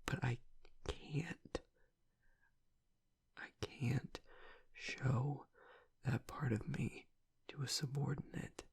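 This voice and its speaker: A man playing a tough young werewolf pack alpha broken by grief in an intimate audio roleplay, halting and choked up, with a breathy, raspy voice. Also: mid-range voice, steady tone, heavily acted. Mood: burdened, strained. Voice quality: breathy, raspy whisper with gravelly breaks. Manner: halting, fragmented, swearing under strain. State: choked up, grieving.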